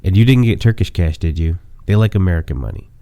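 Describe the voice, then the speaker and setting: A man's voice: sits low, fast, mellow, clear, resonant tone, no room sound. A man reading an easygoing bar owner, stuffy. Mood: casual. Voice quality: stuffy, nasal from a cold.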